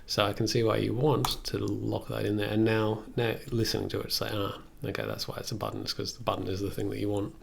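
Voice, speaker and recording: A man's voice: fairly low pitch, little pitch movement, fast, creaky, hardly any pauses, noisy background. A young man giving a casual live tutorial, thinking aloud in a musing way as he streams. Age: young adult. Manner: musing, reads aloud then comments. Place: small room indoors at computer desk.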